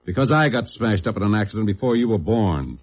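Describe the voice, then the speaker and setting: A man playing a gruff, proud older factory foreman, curt and defensive, in a mid-century radio drama. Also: on the low side, expressive, fast talker. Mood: gruff, bitter. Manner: curt, tough-guy talk. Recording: dull old radio recording.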